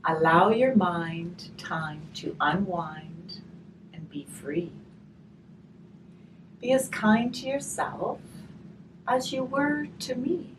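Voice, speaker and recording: A woman reading a picture book aloud slowly and expressively, pausing to chat and put questions to her listeners. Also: on the low side. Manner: slow, expressive reading with chatty questions to the audience. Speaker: hospice volunteer reading a picture book to children. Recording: distant mic, faint background noise.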